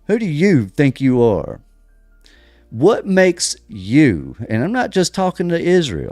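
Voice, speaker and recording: A man's voice: medium pitch, mellow, dry recording, clean studio sound. Emphatic American man preaching rhetorically. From United States. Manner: emphatic, rhetorical questions, pauses and restarts. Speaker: podcast host. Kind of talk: preaching-style religious commentary.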